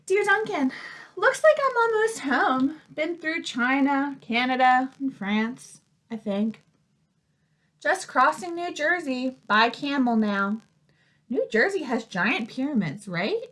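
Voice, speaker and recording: A globe-trotting crayon from a picture book, put on by a woman. It is breezy and cheerfully clueless. The voice is high, with a leisurely pace. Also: very animated, takes it slowly, fairly bright tone, heavily acted.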